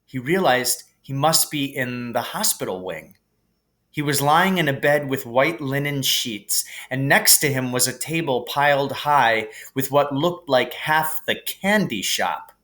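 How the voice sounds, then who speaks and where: A man narrating a children's novel aloud in a measured, expressive way. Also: medium pitch. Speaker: reader, teacher. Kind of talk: scripted storybook narration.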